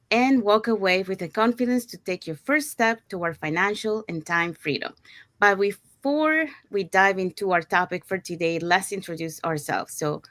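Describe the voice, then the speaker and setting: A woman's voice: medium pitch, a bit bright, no echo. Upbeat woman hosting a dry-sounding livestream. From Panama. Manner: upbeat, chatty with fillers and restarts. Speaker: host of an online business livestream. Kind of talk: presenting a live webinar promo.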